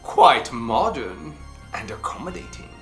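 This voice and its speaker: Woman, children's storyteller, slow deliberate delivery, theatrical emphasis, very varied intonation, roomy echo. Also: rumbling low, big pitch swings. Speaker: children's book club host. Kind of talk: expressive read-aloud storytelling for children. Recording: roomy echo, faint music behind.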